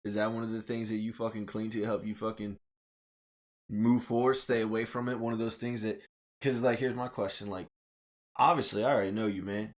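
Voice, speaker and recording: A man's voice: lowish voice, flat delivery, fairly quick. A man hosting a podcast in casual chat, teasing his guest, swearing freely and asking probing questions. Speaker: podcast host.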